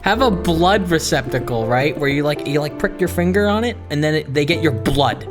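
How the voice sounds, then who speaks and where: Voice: medium pitch, lively intonation, normal speed, over music. A gaming video host giving casual, rambling commentary in a self-deprecating, ranting way that wanders from one thought to the next.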